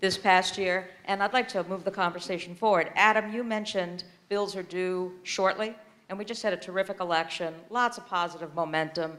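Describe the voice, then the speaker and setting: Lady in the audience asking a question, loud and direct, lowish voice. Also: steady tone, brisk. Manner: forthright, loud. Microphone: far from the mic at first.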